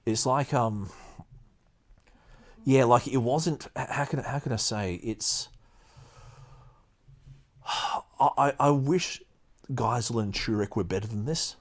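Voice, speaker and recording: A man hosting a podcast, reading aloud and giving casual commentary in a halting, emphatic way. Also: medium pitch. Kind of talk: casual commentary mixed with read-aloud passages.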